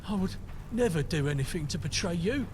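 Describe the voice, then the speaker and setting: An actor with a British accent voicing a humbled, wary, loyal gunfighter in a dramatic video game. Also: male voice, sits high, animated, fairly quick, noisy background. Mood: alarmed, pleading.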